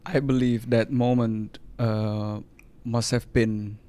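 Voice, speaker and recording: A man's voice: mid-range voice, flat delivery, slow pace, warm tone, smooth. A man from Indonesia hosting a podcast interview, speaking thoughtfully and searching for words as he reflects. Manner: thoughtful, searching, frequent restarts. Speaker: podcast host.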